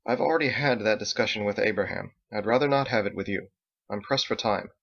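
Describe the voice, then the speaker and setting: A grown son in a story, played by a male reader, dour and curt. He keeps his words stiffly polite and restrained, with some creak, on a slightly muffled recording. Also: lowish voice, fairly quick, a bit bright.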